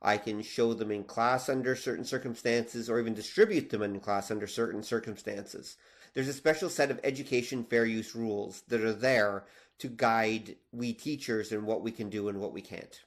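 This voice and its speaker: A man's voice: lowish voice, steady tone, moderate pace. A man with a Canadian accent in a casual podcast discussion, explaining with examples and making his points emphatically. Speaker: podcast co-host; teacher.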